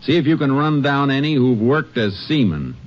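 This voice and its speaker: An actor playing a stern, no-nonsense Texas Ranger, terse and authoritative, in an old radio drama. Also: male voice, mid-range voice, animated, moderate pace, natural voice. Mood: brisk, commanding. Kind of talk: 1950s radio drama acting and narration. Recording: muffled, noisy old radio recording.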